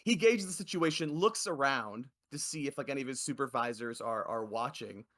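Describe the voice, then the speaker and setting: Man, game master, mid-range voice, casual improvised narration, halting delivery. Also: mid-range voice, a bit bright. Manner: hesitant, halting, conversational.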